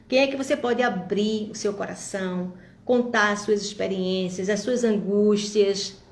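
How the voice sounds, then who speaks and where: A woman's voice: medium pitch, roomy sound, slight background noise. A woman in her fifties giving an encouraging, reflective talk straight to her viewers. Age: 50s. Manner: warm, encouraging, reflective, addresses viewers directly. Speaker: vlogger. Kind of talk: motivational monologue to viewers.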